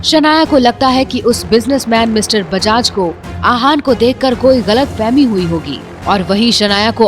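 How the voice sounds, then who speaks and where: Woman narrating an audio drama over music. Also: on the high side. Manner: dramatic storytelling.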